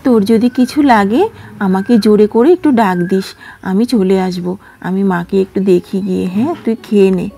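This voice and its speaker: A woman voicing a kind, patient young girl who pleads softly and sweetly. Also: medium pitch, moderate pace, gravelly, soft background music. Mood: tender.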